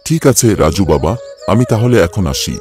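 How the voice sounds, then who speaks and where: A man's voice: on the low side, expressive, moderate pace, a little mellow, faint music behind, close to an everyday voice. A man playing a kindly elderly village uncle in a cartoon, affectionate and neighbourly. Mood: affable. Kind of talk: Bengali cartoon voice acting.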